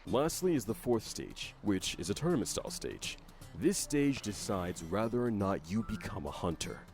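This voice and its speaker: Low-pitched man narrating a game explainer. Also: big pitch swings, moderate pace, darkish tone. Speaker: narrator of a game update video. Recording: faint music under narration. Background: faint music.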